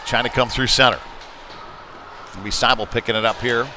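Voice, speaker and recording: Clear background noise from an ice rink sits behind a man doing live hockey play-by-play. His pitch is middling for a man. He talks conversationally through the analysis and lifts into excitement when the action heats up. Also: normal speed. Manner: excited surges on big plays, conversational analysis between. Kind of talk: live sports commentary. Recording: noisy rink ambience behind live commentary. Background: busy ice rink noise.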